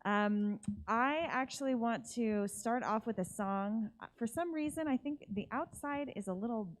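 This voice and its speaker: High-voiced woman, slightly raspy. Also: normal speed. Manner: relaxed, rambling, with many fillers. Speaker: worship leader. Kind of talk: casual chat at a church livestream.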